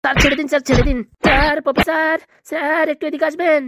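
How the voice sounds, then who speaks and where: Woman as a rapid-talking, shifty cartoon hospital swindler. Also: sits high, level tone, fairly bright tone, no room sound. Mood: panicked, pleading. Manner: evasive, pleading. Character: shifty, cowardly hospital swindler, easily panicked. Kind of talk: fast cartoon comedy dialogue.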